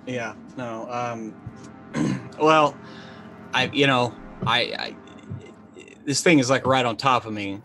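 A man in his thirties chatting casually and jokily as a tabletop game player, animated and stammering as he improvises. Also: medium pitch, slow pace, faint music behind, rough recording. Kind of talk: casual, joking game chat. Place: indoor room on a video call. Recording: faint noise, ambient sound behind.

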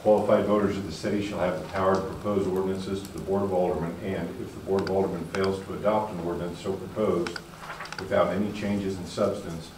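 A man on a commission reading a legal text aloud in a steady, formal way in a large meeting room. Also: low voice, quick pace. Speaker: commission member. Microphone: far camera. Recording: very echoey, distant room sound with noise.

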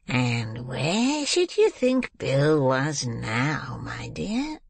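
A man voicing a crafty old criminal boss in a storybook reading, with a croaking, breathy voice and drawn-out, scheming delivery. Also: medium pitch, big pitch swings, fairly bright tone, no echo, full character voice. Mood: oily, wheedling.

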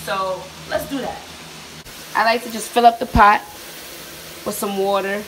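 A woman's voice: mid-range voice, fairly even intonation, a little slow, a bit bright, low-quality recording. Slangy young woman cooking at home. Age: young adult. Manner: slangy, chatty, talks straight to viewers. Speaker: cooking vlogger. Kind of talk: casual cooking demo. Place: home kitchen, indoors. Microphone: handheld phone camera. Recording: sizzling pan noise behind. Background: oil frying and sizzling.

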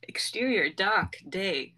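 A woman's voice: medium pitch, expressive, takes it slowly, sharp tone. A young woman reading out stage directions as scripted narration. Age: young adult.

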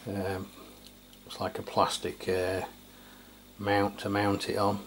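A man's voice: lowish voice, slow pace. A middle-aged man from England giving an unscripted, casual product review in a hesitant, matter-of-fact way. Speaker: radio reviewer. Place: indoors at a tabletop. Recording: clear steady background noise, room ambience.

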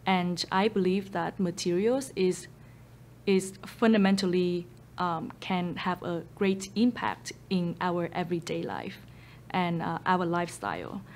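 A woman in her thirties with a Vietnamese accent talks through a seated studio interview in a fairly low voice, earnest and steady, with plenty of ums and slips in her English grammar. A boom mic picks her up in a studio, with a faint hiss underneath. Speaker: startup founder. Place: photo studio with a blue backdrop, indoors. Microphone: boom mic overhead.

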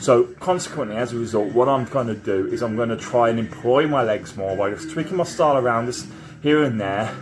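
A man's voice: mid-range voice, a little fast, a crowd in the background. A man in his thirties talking casually to camera in a small garage home gym, rambling and self-assured, recorded close on a phone.

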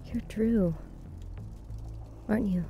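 A woman playing a wary woman filming alone in the woods in an audio drama, hushed and hesitant. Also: mid-range voice, slow, dull audio, soft background music. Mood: cautious, concerned.

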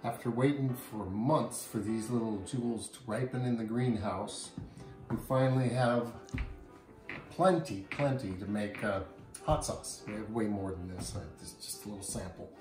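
An older man hosting a home cooking show, explaining each step in a relaxed way with frequent pauses, in a kitchen and then out at a backyard grill. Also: lowish voice, rough recording. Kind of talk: casual cooking demonstration. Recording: music playing underneath.

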